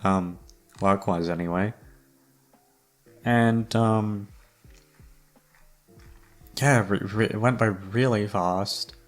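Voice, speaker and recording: A man's voice: fairly low pitch, fairly even intonation, extremely slow, pauses often, soft background music. A man chatting casually and unscripted to his listeners as an audio creator, hesitant, rambling and self-deprecating. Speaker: audio roleplay creator and host. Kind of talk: casual unscripted outro chat.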